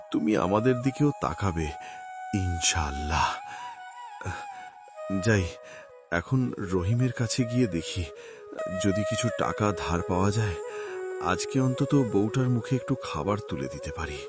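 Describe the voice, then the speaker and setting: Man acting a low, sorrowful poor Bangladeshi husband. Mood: weary, hopeful. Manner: plaintive, sorrowful, pleading. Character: despairing, proud, poor middle-class husband. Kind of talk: dramatic cartoon story acting. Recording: loud music bed under voice. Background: music.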